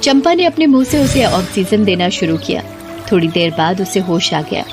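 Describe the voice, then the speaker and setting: A woman's voice: mid-range voice, moderate pace, over music. A woman narrating a scripted Hindi story in a dramatic storytelling style.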